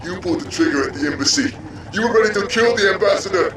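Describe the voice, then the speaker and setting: A man playing a smug, coolly sadistic villain in a comic book audio drama, with a measured, taunting delivery. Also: high voice, animated, a bit bright. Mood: angry, betrayed. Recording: roomy, distant mic, music behind.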